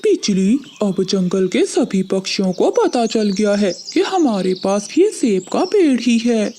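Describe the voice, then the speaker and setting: An earnest grown male bird from a children's cartoon, acted by a woman. The voice is mellow and slow, at a middle pitch. Faint noise and birdsong sit behind it. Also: big pitch swings. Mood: scheming. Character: earnest adult male bird, husband or worried father. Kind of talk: Hindi children's cartoon voice acting.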